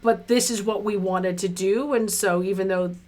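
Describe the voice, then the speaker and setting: A woman hosting a casual livestream interview, talking in an animated way and acting out little quoted asides. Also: mid-range voice, moderate pace. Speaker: host interviewing guests.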